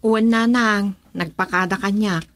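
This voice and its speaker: A woman playing a gentle young wife and mother in an Ilocano radio drama, with a Filipino Ilocano accent. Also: medium pitch, fairly even intonation, moderate pace, lightly acted. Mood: gentle, uneasy.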